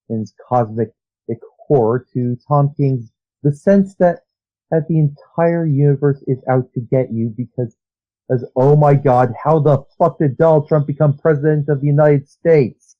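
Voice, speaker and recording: Rambling man guest, dull lo-fi sound. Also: medium pitch. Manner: rambling, analytical, trailing restarts. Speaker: guest writer. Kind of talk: casual interview chat.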